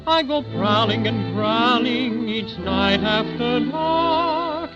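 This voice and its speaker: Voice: mid-range voice, animated, slow. An actor playing a tiny, boastful, harmless teddy bear in 1930s radio, delivering mock-ferocious sing-song rhymes. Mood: mock-fierce, boastful. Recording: muffled 1930s recording, loud music behind.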